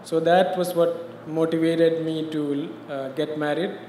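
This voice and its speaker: A man's voice: a little high, level tone, unhurried, mellow, a little hiss or hum. Man from India speaking at a podium. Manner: conversational, explanatory, uses "you know". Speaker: guest interviewee. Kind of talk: personal story in a live interview. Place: large mosque hall at a podium. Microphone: podium mic. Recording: roomy hall reverb, faint ambience.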